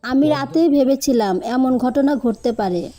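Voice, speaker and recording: A woman's voice: high-pitched, a little slow, mellow, soft background music. A woman acting an anxious, caring village girl in a cartoon story.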